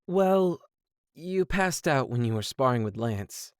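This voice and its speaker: A man playing a calm, kindly young prince and supportive brother in a fandub drama. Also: mid-range voice, slow, clean tone, no echo, clean studio sound. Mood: caring. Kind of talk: fandub character acting.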